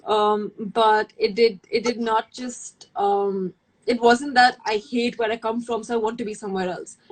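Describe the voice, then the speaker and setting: A woman's voice: on the high side, fairly even intonation, a little slow, rough recording. A young woman from India, a native Hindi speaker, chatting casually as a livestream guest and rambling with many fillers and restarts. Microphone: phone or video call.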